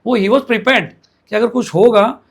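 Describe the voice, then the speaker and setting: A man's voice: very high-pitched, slow pace, vocal fry, breathy edge. A man interviewing for a news channel, arguing insistently, cutting in and repeating phrases for emphasis. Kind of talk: animated news interview, arguing his point.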